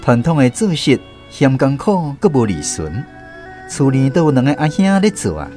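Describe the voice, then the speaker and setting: A Taiwanese man narrates a story for radio. His pitch sits in the middle and moves in lively swings. Soft guitar music plays behind him. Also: normal speed.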